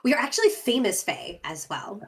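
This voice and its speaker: A woman's voice: mid-range voice, huge pitch swings, brisk, gravelly. A woman playing a chipper, diplomatic fae musician and puppeteer with an eager, persuasive manner.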